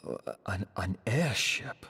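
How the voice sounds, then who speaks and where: An actor playing a dazed, unsure man in a video game, speaking haltingly in a breathy, husky voice. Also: fairly low pitch, animated, pushed and bright, heavily acted. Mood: bewildered, dazed. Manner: halting, faltering.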